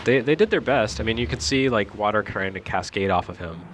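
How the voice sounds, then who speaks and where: A man's voice: lowish voice, expressive, fairly quick, a little hiss or hum. A man giving casual, wry commentary as a video game critic, joking as he talks.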